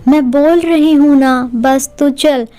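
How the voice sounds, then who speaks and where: A woman's voice: high-pitched, steady tone, slow pace, warm tone, faint music behind. A woman playing a kindly, insistent young friend in a cartoon, talking in a coaxing way. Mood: friendly, insistent.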